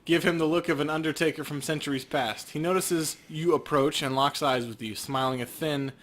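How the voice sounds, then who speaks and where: Casting: male let's play narrator, higher-pitched and bright, casual but reading scripted game description. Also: steady tone, fairly quick.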